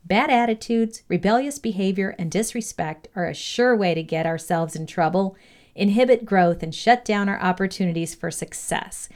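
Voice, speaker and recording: A woman's voice: medium pitch, moderate pace, a little mellow. A woman reading a scripted instructional lesson aloud as a narrator. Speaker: reader of a leadership values lesson.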